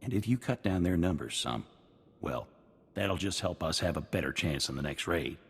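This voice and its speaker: A man's voice: low voice, animated, normal speed, husky, long reverb tail, heavily acted. A man voicing a folksy, grumbling but grateful frontier farmer in a video game. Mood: awkwardly grateful. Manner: folksy rural speech, drops endings.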